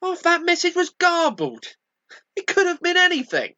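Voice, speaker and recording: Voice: extremely high pitch, wildly swooping pitch, moderate pace, sharp tone, muffled recording, heavily acted. An amateur actor with a British accent playing an indignant, sarcastic, accusing train passenger in a radio play. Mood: dismissive. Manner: sarcastic, scolding. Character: indignant, accusing, no-nonsense London Tube passenger.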